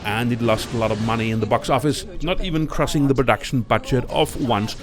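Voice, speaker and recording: A man's voice: mid-range voice, brisk. A man hosting a countdown video, reading a scripted film review as narrator. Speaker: video list host and narrator.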